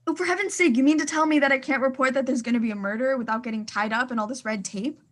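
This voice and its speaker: High-pitched, imperious, frantic wealthy wife. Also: female voice, fast. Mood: exasperated. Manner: indignant, demanding, stammering in agitation. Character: imperious, frantic, fretful well-to-do married woman. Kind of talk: radio thriller acting.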